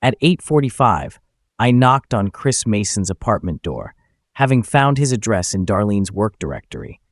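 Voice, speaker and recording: Man narrating a story, lively pitch swings. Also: normal speed, no echo, clean studio sound. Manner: acts out the dialogue. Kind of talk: scripted first-person story narration.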